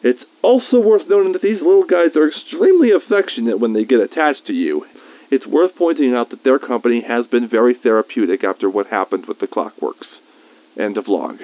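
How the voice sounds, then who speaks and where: A man playing a researcher who records a semi-formal audio diary about creatures he is fond of, measured with informal asides, through a radio-like filter. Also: medium pitch, animated, dry recording. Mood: warm, subdued. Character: male facility researcher logging notes, fond of creatures. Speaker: researcher recording a personal log. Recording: dry, thin phone-like radio sound.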